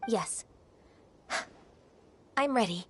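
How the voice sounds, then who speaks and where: A woman playing a gentle, earnest young half-immortal trainee, polite and hesitant, in an anime game dub. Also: fairly high pitch, lively intonation, extremely slow, slight background noise. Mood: resolute, meek. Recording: background game music.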